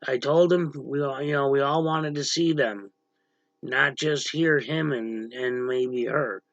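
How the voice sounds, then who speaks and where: A man hosting a casual livestream with a gravelly voice, talking bluntly to viewers and snapping at chatters who annoy him. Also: mid-range voice, a little slow, fairly bright tone, no room sound, highs cut off. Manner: blunt, irritated, snaps at chatters. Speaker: livestream host. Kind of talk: casual livestream chat reading viewer comments. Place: dim room at home, power out.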